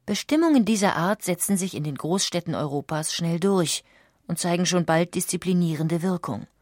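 A woman's voice: fairly low pitch, lively intonation, normal speed, darkish tone, no echo, studio quality. A woman reading scripted narration in the manner of a documentary audiobook.

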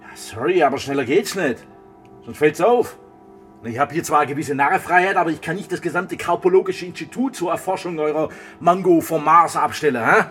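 An actor playing a jovial, quirky fruit researcher, chatty and teasing, in a radio drama. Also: male voice, on the high side, fairly quick, fairly bright tone, soft background music, natural voice. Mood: matter-of-fact. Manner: chatty, folksy, teasing, tag questions. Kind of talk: German radio drama acting.

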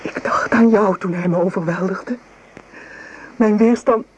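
An actress playing a lovesick, guilt-ridden young maidservant in a radio play, with halting, breathy, pleading delivery. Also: female voice, mid-range voice, steady tone. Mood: anguished, desperate. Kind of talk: melodramatic Dutch radio play acting. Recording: noisy old radio drama recording.

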